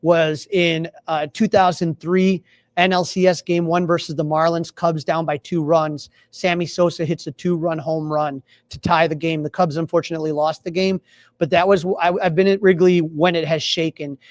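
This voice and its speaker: A man's voice: fairly high pitch, little pitch movement, moderate pace, no echo. A man co-hosting a sports podcast, giving opinionated, emphatic fan commentary in casual talk.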